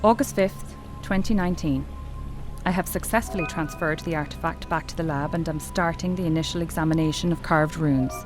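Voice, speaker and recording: A woman playing a dedicated archeology professor in an audio horror drama, speaking in an articulate, measured, scholarly manner. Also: low-pitched, close to an everyday voice. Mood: absorbed, studious. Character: dedicated female archeology professor, affectionately commanding, increasingly entranced. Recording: music bed behind the voice.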